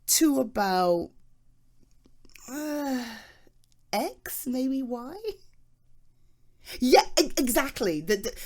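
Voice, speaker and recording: A woman's voice: fairly high pitch, darkish tone. A British woman playing a flustered, chatty geology nerd in an ASMR roleplay, explaining things in a rambling, hesitant, eager and informal way.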